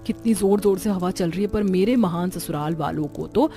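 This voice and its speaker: Fields: Figure: grumbling young daughter-in-law acted by a woman. Tone: mellow. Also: lowish voice, moderate pace, natural voice. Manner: complaining, dramatic. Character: put-upon young daughter-in-law, grumbling in self-pity. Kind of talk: animated Hindi family story dialogue. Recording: music bed under the voice.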